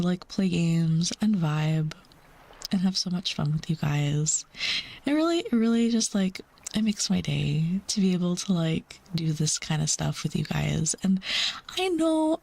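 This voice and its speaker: A woman's voice: medium pitch, gravelly, a little mellow. Chatty woman streamer rambling casually. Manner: chatty, rambling, calls herself Mama. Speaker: livestreamer. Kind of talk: casual livestream chat with viewers.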